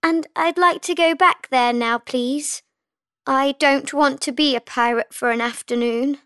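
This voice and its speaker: A woman's voice: very high voice, animated, slow, sharp tone, exaggerated voice. A woman voicing a polite, outspoken young girl in a children's story, with a childlike, earnest delivery. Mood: timid.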